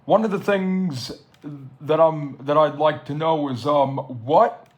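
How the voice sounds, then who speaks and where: A man interviews someone in an informal setting. His voice sits a little high, and he takes his time, feeling his way through each question slowly and deliberately. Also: darkish tone.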